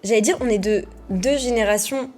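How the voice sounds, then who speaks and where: A woman's voice: on the high side, moderate pace, darkish tone, faint music behind. A young woman hosting a podcast, asking her guest questions in a conversational way and sometimes stopping to search for words. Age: young adult. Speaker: podcast host. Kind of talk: interview questions.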